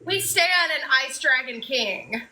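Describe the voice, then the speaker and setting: A woman in her thirties co-hosting a live video call chat, gushing and excitable. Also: high voice, slow pace, crowd noise, poor audio. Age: 30s. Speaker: podcast co-host.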